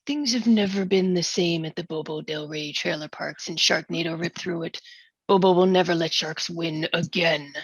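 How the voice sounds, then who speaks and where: Woman acting husky, pompous small-town mayor. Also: fairly low pitch, normal speed, no echo. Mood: pompous, defiant. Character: self-important, scheming small-town mayor named Bobo. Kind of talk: script read aloud at a comedy musical table read.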